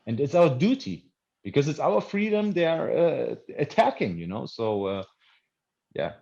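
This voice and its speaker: A man born in Iran who is more German than Iranian, a non-native English speaker and film director, answering interview questions earnestly and emphatically, with halting restarts. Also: a little high, big pitch swings, creaky, mellow. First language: not English. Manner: earnest, emphatic, halting with repeated word starts. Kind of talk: festival Q&A conversation. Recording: dull, lo-fi audio.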